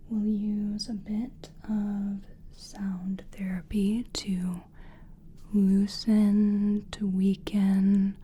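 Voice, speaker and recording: A woman whispering in a breathy, gentle and soothing way, as a practitioner in an ASMR personal attention roleplay. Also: medium pitch. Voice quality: breathy, mostly unvoiced whisper. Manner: gentle, soothing, deliberate, reassuring. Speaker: ASMR performer playing a soul-cleansing practitioner.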